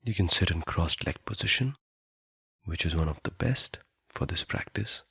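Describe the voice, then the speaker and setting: A man guiding a meditation in a soothing, deliberate manner, leaving long pauses between phrases. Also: low voice, expressive, vocal fry, sharp tone, breathy edge, no room sound, studio quality. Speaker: meditation guide. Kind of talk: guided meditation narration.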